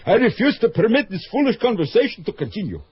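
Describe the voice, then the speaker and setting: A man's voice: high voice, big pitch swings, fast, slightly rough, dry recording, full character voice. An actor playing a stern, protective older Soviet father in an old radio drama. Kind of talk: 1970s radio drama acting. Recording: dull old radio recording.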